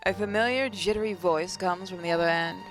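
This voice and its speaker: A let's play gamer with a slightly hoarse, under-the-weather voice, reading narration aloud with joking, self-mocking asides. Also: medium pitch, brisk, fairly bright tone, faint music behind. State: slightly hoarse, getting sick. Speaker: gaming YouTuber.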